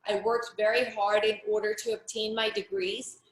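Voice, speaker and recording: A woman's voice: medium pitch, little pitch movement, moderate pace, roomy sound, poor audio. A middle-aged woman from Pakistan, speaking frankly and earnestly as an interview guest, often restarting her sentences. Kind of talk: personal testimony in an interview.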